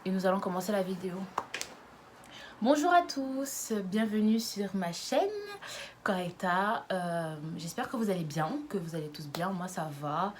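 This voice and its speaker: A woman's voice: on the low side, measured pace, a little hiss or hum. A woman in her twenties from around Lyon, chatting casually to her camera with frequent fillers and giggles. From Lyon area, France. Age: 20s. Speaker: YouTuber. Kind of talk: casual vlog chat.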